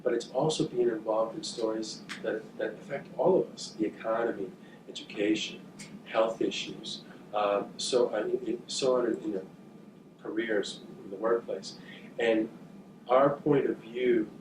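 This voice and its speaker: Man, halting talk, frequent fillers, distant mic, echoey room. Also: lowish voice, steady tone. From northern New Jersey, USA. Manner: thoughtful, halting, with frequent fillers and repeated words. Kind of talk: talk to journalism students. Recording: echoey, distant, with background noise.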